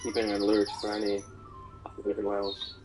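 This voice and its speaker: A man's voice: fairly low pitch, completely flat delivery, a little fast, background noise. A man chatting casually as a player in a tabletop role-playing game.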